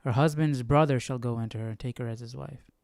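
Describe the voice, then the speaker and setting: A man's voice: mid-range voice, steady tone, fast, creaky, darkish tone, no echo, clean studio sound. A man hosting a Bible study stream, casually reading verses and musing aloud with questions about them.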